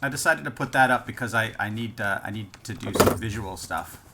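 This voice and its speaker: A man hosting a casual gaming session, easygoing and quick to laugh, with hesitant fillers. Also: fairly low pitch, noisy background. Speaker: host and Minecraft series creator.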